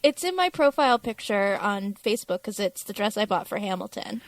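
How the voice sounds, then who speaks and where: A woman's voice: mid-range voice, sing-song, brisk, dry recording. A woman co-hosting a podcast in a casual, conversational style. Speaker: podcast co-host.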